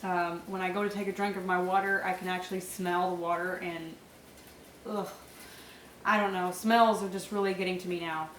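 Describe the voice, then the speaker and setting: A young woman talks casually to her viewers, her voice stuffy and nasal from a head cold. She fills her sentences with ums and soft laughs. The sound is echoey, and she sits a little back from the mic. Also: on the low side, flat delivery, normal speed, distant mic, slight background noise. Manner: chatty, many fillers and small laughs. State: congested, nasal, sore throat. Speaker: vlogger. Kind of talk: casual pregnancy update vlog.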